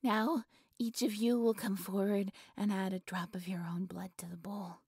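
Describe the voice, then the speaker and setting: A woman playing an obsessive, devoted female cult leader in a roleplay audio drama, with a breathy, reverent, theatrical delivery. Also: mid-range voice, level tone, normal speed, a little mellow, no room sound, studio quality. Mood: hushed, controlled. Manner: breathy, reverent, theatrical incantation with nervous stammers.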